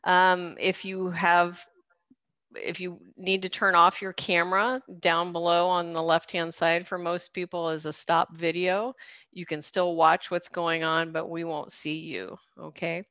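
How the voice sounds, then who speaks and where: A woman's voice: lowish voice, little pitch movement, unhurried, fairly bright tone, dry recording. A woman coordinator hosting a video-call program, walking people through the call settings step by step. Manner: friendly, explains step by step with fillers. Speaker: fitness program coordinator and event host. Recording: dry, slightly dull video-call audio.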